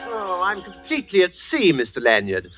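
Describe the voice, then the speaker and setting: A man's voice: sits high, huge pitch swings, a little fast, over loud music. A man playing a timid, wisecracking manservant in a vintage radio drama, with a meek, comic manner. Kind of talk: 1940s radio acting. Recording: noisy lo-fi vintage radio recording.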